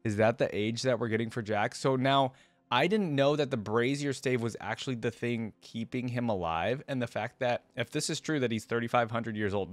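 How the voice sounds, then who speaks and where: A man with a fairly low voice, recorded dry and close to the mic. He hosts reaction commentary in a casual, conversational way, thinking aloud and asking his audience questions as he reads. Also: no room sound. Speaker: host of a reaction show.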